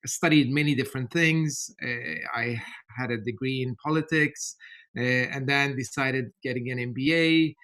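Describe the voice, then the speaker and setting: A middle-aged man from Turkey with a Turkish accent, giving a podcast interview in a measured, thoughtful way with many hesitations. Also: medium pitch, a bit bright. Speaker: interview guest.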